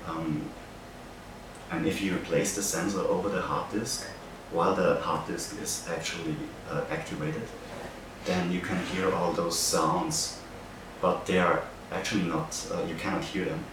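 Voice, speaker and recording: Deep-voiced young Austrian man, very echoey. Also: level tone. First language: German. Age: young adult. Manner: hesitant, halting delivery with searching pauses. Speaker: art student. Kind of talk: explaining a project. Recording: very echoey, distant mic, noisy background.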